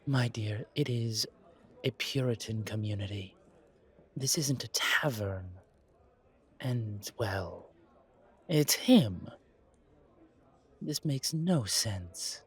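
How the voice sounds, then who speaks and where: A man playing a genteel, world-weary vampire in an audio roleplay, speaking in a courtly, deliberate way with pauses and sighs. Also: on the low side, lively intonation, husky, exaggerated voice. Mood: wary, puzzled. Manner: courtly, deliberate, pausing, sighing between phrases. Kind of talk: slow audio roleplay acting, addressed to listener.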